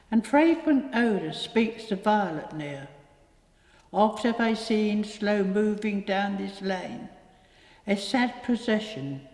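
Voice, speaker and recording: A woman reciting rhyming poetry in a measured reading style. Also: mid-range voice, darkish tone. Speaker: poetry reader. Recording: echoey hall with long reverb.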